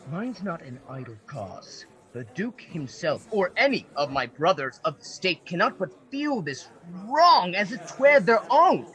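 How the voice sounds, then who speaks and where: An actor playing an outraged, grieving elderly Venetian senator in a Shakespearean radio play, with emphatic, halting declamation. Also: mid-range voice, fairly bright tone, rough recording. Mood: indignant. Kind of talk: Shakespearean verse acting for radio.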